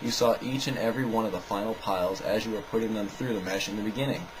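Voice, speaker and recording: A man's voice: on the low side, quick pace, background noise. A man giving a scripted, argumentative rebuttal commentary in a sarcastic, dismissive way. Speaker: video narrator rebutting another video.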